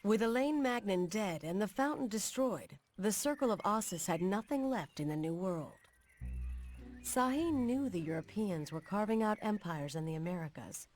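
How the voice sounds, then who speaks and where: Actress as game narrator, woman, wry storytelling, animated intonation, middle pitch, faint music. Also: moderate pace, lightly acted. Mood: wistful, wry. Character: wry woman storyteller recounting her family's frontier history. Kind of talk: scripted narration.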